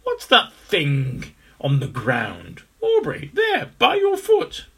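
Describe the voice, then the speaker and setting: A man voicing an alarmed male gorilla in a storybook, squeaking out an urgent warning. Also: huge pitch swings, slow, vocal fry, bright, forceful tone, husky, full character voice.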